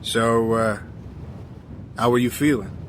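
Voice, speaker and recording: An actor playing a humble, curious sailor who talks haltingly and stammers with deference. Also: male voice, medium pitch, steady tone, background noise, close to an everyday voice. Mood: hesitant, curious. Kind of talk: audio drama acting.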